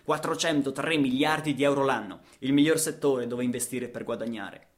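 A man performing a dramatic radio monologue, rhetorical and emphatic, with deliberate pauses and repetitions. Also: mid-range voice. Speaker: actor and narrator.

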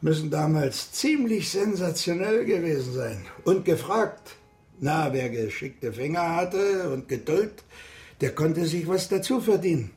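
An actor playing an older, opinionated ship-club member in a radio drama, talking colloquially in a gravelly voice. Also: male voice, fairly high pitch, expressive, a little mellow, faint music behind. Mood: chatty, proud. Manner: colloquial, halting asides. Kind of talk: naturalistic radio drama acting.

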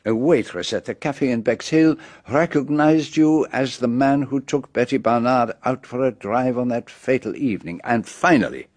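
An actor playing a brilliant, self-assured foreign detective with a French accent, explaining precisely and theatrically in a radio drama. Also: male voice, medium pitch, moderate pace, few pauses, a little mellow, no room sound, lightly acted. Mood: firm, certain. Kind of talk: BBC radio drama acting.

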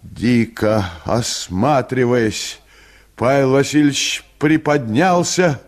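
A man reading a comic short story for radio as an expressive, theatrical narrator. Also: mid-range voice, takes it slowly.

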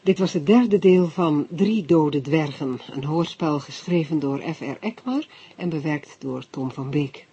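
Husky, mellow male radio announcer. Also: a little high, a little slow. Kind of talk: scripted credits announcement. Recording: slightly dull radio recording.

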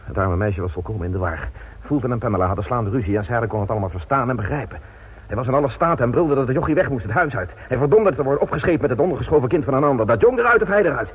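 A man acting a wealthy, imperious older village patriarch in a radio drama, guarded, haughty and self-justifying, with a raspy voice. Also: medium pitch, expressive, rapid-fire. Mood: explaining, agitated. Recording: dull lo-fi radio drama recording.

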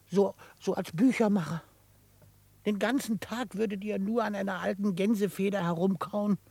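A man playing a quirky old frontier trapper in a radio drama, excitable and grumbling, gruff but fond, with a crackly voice. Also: high-pitched, dry recording. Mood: incredulous, grumbling. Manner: excitable, grumbling, chuckling, theatrically indignant. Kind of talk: German radio drama acting.

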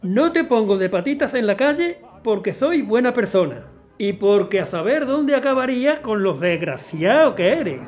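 A man's voice: high voice, very animated, normal speed, darkish tone, slight background noise. An older actor playing a stern, bitter father-in-law in a radio drama, curt and scolding.

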